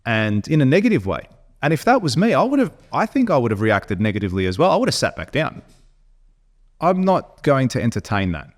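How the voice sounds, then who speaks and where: An Australian man co-hosting a podcast, talking bluntly in an animated, opinionated way, with frequent restarts. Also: medium pitch, a little fast. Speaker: podcast co-host. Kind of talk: casual opinionated chat.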